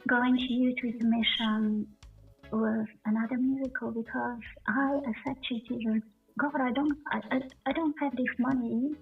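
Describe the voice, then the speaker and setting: A woman from Bulgaria giving a personal testimony as an interview guest, speaking haltingly with repeated words. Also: on the high side, steady tone, breathy edge. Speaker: guest sharing her faith testimony. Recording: muffled distant lo-fi call, faint music.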